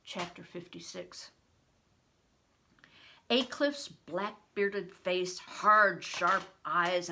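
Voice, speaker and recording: An older woman reading a children's novel aloud in an expressive storytelling manner. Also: lowish voice, slight background noise. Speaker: reader.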